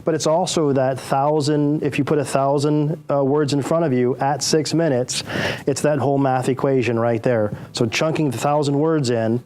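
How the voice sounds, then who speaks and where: A man's voice: medium pitch, steady tone, normal speed, darkish tone. Man explaining his method casually. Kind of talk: explanatory talk.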